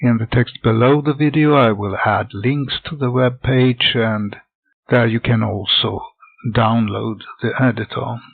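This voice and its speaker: Slow, gravelly older man. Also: mid-range voice, steady tone, no room sound. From Sweden. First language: Swedish. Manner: methodical, plain step-by-step explaining. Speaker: hobby game developer. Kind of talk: software tutorial voice-over. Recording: dry, tinny lo-fi voice recording.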